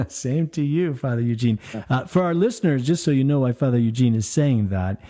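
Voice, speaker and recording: A man's voice: medium pitch, moderate pace, mellow. A man, a priest, hosting a call-in radio talk show in a friendly, chatty way, with frequent fillers. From United States.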